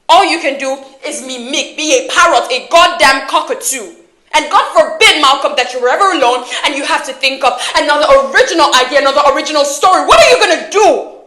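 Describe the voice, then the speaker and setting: Actress as bitter young woman, higher-pitched voice, intense accusatory delivery, dramatic monologue, echoey room. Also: full character voice. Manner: profane, accusatory, intense, swinging from hushed hurt to loud scorn. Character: wounded, furious young woman confronting her filmmaker lover. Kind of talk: dramatic film monologue performance.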